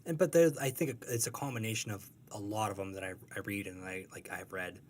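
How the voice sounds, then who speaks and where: Laid-back guy, lowish voice, rambles n restarts, bit of hiss behind. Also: a little fast. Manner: rambling, self-correcting, relaxed and laughing easily. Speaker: cartoonist guest. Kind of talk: casual conversational interview.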